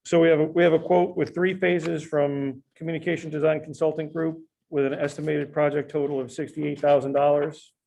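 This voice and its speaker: A man's voice: fairly high pitch, flat delivery, fairly quick, creaky, few pauses, a little mellow, low-quality recording. A man speaking as a town official in a public meeting, summing up documents. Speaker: town official at a bid opening.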